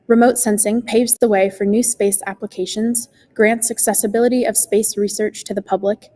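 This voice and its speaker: A teenage girl student giving a measured, formally read science presentation. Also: mid-range voice, a little mellow, big-room sound. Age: teens. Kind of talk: reading a scripted presentation.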